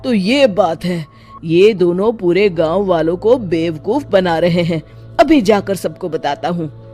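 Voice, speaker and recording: महिला की आवाज़ में डरपोक, धीमे बोलने वाला किसान. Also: female voice, on the low side, animated, unhurried, dark tone, soft background music. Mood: resolved. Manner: fearful, lamenting. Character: timid, fretful farmer man hauling grain.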